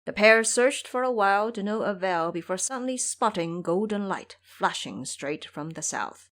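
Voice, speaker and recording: A woman's voice: medium pitch, expressive, normal speed, a bit bright. A woman narrator telling a story in a dramatic, scripted audio drama style.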